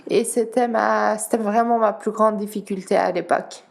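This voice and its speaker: A young woman from Geneva whose first language is Dutch, answering interview questions slowly, with pauses and repeated words. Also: medium pitch, steady tone, vocal fry. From Geneva, Switzerland. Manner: slow, halting, many pauses and repeated words. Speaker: guest, para-athlete.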